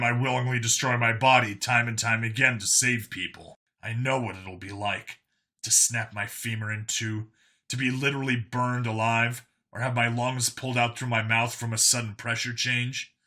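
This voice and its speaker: A man's voice: mid-range voice, bright, forceful tone. A man voicing a world-weary clone cop in an audio story, burdened by guilt and explaining things matter-of-factly.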